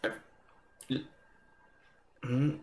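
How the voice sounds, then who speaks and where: A man's voice: very slow, mellow. A man in his thirties making casual, musing reactions to a game on a livestream. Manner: low-key, musing. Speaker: reaction streamer. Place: indoor livestream setup. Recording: echoey room sound. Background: faint game music.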